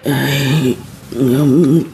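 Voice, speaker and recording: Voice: mid-range voice, steady tone, very slow, mellow. An actor playing a frail, worried old grandfather in a radio drama, sighing and groaning plaintively. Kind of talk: Ilocano radio drama acting. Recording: noisy radio drama with ambience.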